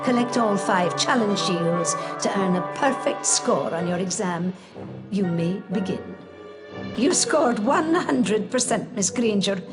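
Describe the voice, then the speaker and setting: A woman's voice: mid-range voice, animated. An actress voicing a stern but fond older witch professor in a video game, prim and formal. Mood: formal, dry. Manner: prim, formal, brisk schoolmistress. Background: loud music.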